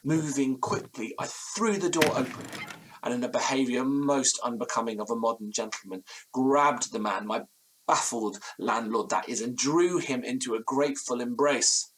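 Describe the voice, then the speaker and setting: An actor playing an anxious, haunted gentleman narrating a period ghost story in florid, formal, faltering language. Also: mid-range voice, normal speed, a little hiss or hum, natural voice, poor audio. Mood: tense, relieved. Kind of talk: dramatic first-person horror narration in audio drama.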